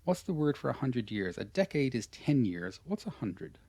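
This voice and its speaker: An Irish man in an online lesson, coaching a student through pronunciation. His voice sits at a middling pitch, and he stays patient and encouraging, handing out praise again and again. The sound is dry and close, with no room around it. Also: very animated, normal speed, warm tone, no room sound. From Ireland. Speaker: English pronunciation teacher.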